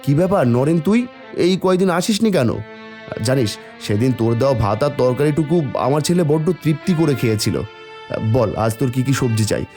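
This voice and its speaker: A man playing a kind, humble village vegetable seller and father in an animated story, speaking in an earnest, pleading way. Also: mid-range voice, fairly quick, faint music behind, lightly acted. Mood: friendly concern. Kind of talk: animated Bengali moral story dialogue.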